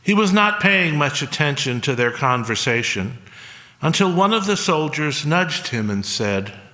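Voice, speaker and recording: A man reading a solemn scripted story in a measured way, with pauses. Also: a little high, big pitch swings, bright, forceful tone. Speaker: reader at a church service. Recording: long hall reverb.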